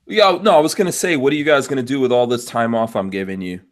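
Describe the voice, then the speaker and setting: A man's voice: mid-range voice, very animated, fast talker. A man hosting a podcast, reading out listener comments in a laid-back, dry-humored way. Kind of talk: casual chat.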